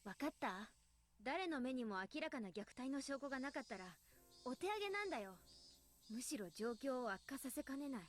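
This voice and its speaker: Blunt, fast-talking teenage anime girl. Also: high voice, expressive, a little hiss or hum. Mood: resigned, firm. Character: frank, exasperated teenage girl explaining grimly. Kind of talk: Japanese visual novel voice acting. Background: game music.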